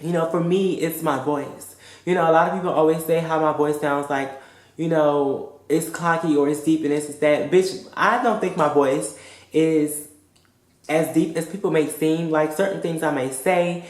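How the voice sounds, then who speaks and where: A woman's voice: low voice, fairly even intonation, moderate pace, darkish tone. Frank, profane woman vlogging in an echoey room. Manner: frank, profane, emphatic, repeats pleas. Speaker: vlogger giving advice. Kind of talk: casual direct-to-camera advice vlog.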